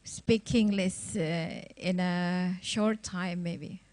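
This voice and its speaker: A woman's voice: on the low side, steady tone, very slow, a little mellow. Hesitant Indonesian woman asking a question. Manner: hesitant, many fillers. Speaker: audience member.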